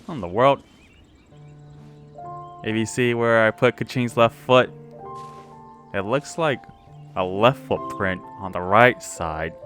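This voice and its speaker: A man's voice: fairly low pitch, takes it slowly, long pauses, fairly bright tone. A man doing casual, slangy let's play commentary with offhand reactions. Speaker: gaming streamer. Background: game music.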